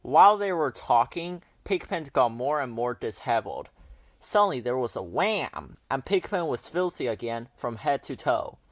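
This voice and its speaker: A man's voice: mid-range voice, sing-song, moderate pace, no echo. A man narrating a children's picture book aloud as a storyteller.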